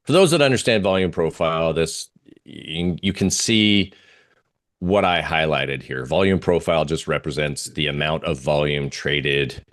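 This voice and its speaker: A man giving informal live market commentary as a guest analyst, halting and searching for his words. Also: very deep voice, a bit bright.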